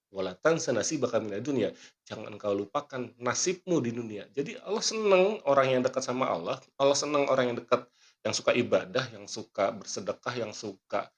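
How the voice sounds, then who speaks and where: A man hosting a motivational podcast, teaching earnestly and quoting scripture and sayings, often clearing his throat while he talks because he is not fully well. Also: medium pitch, a bit bright. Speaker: magician and motivational speaker. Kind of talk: motivational podcast talk with a magic trick demo.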